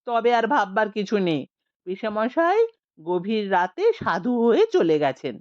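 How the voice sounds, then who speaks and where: A woman's voice: mid-range voice, very animated, measured pace, darkish tone, dry recording, highs cut off, pitched below the performer's own voice. A woman voicing a glib young nephew. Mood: teasing. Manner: teasing, casual.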